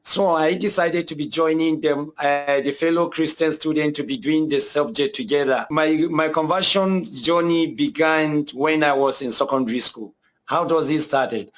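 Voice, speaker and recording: A man's voice: a little high, normal speed. A young man with a Nigerian accent telling his own story earnestly, hesitating and repeating himself often. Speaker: guest telling his conversion testimony. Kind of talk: personal testimony.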